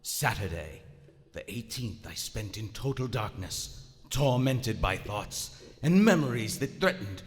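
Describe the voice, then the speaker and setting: Doomed submarine commander, echoing hall. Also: male voice, on the low side, lively intonation. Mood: grim, brooding. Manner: formal, deliberate, brooding. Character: proud German WWI submarine commander slipping into madness. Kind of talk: dramatic first-person radio narration. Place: large theater stage. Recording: live theater stage, long reverb, music underneath.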